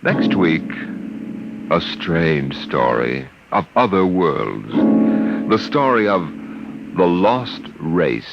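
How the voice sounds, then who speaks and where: A man narrating a 1950s radio drama in a measured, ominous delivery. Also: low-pitched, big pitch swings, creaky. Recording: dull old radio broadcast over loud music.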